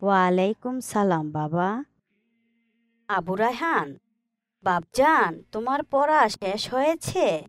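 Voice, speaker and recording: Concerned, loving mother voiced by a woman for moral cartoon, mid-pitched, slow pace, dry sound. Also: expressive, no echo. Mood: affectionate. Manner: affectionate, instructive. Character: caring, pious mother, patient but concerned. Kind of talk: Islamic moral cartoon dialogue.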